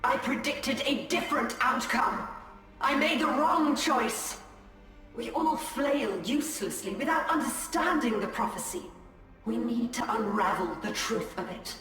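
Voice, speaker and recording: A woman's voice: a little high, lively intonation, unhurried, a bit bright. A woman playing a proud, solemn alien seer and commander in a video game, speaking formally. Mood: regretful. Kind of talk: dramatic video game acting. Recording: long echo, distant, music behind.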